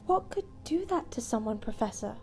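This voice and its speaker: A time traveler's candid young-sounding companion, acted by a woman in a sci-fi audio drama. Her voice is high and mellow with a creaky edge, and she talks matter-of-factly. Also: expressive, moderate pace, faint music behind. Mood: uneasy.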